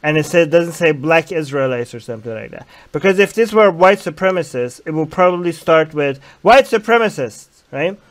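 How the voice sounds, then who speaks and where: Young male stream host, fairly high voice, headset mic, reads out chat comments and argues back casually. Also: lively intonation, normal speed, few pauses. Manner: reads viewer comments aloud and argues points. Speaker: livestream host.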